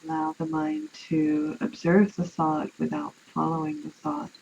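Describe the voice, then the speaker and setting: Low, mellow woman guiding meditation. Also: steady tone. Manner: unhurried, soothing, with long pauses. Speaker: guided meditation teacher. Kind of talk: guided relaxation meditation. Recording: muffled, with clear background noise.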